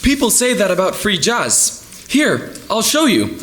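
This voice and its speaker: A man's voice: sits high, huge pitch swings, measured pace, a bit bright, a little hiss or hum, heavily acted. A man playing a naive, flippant young musician in a comic radio play, wry and offhand. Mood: wry, impatient. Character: young jazz clarinettist, naive, flippant, unimpressed outsider.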